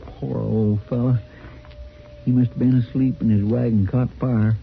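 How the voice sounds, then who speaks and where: A man's voice: mid-range voice, flat delivery, gravelly. A simple, loyal sidekick from the Old West, folksy and respectful toward his boss. The voice sits in a dull old radio recording with light noise. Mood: troubled musing. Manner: folksy, deferential, drawn-out musing. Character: loyal, simple, folksy frontier lawman's helper. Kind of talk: old-time radio western acting.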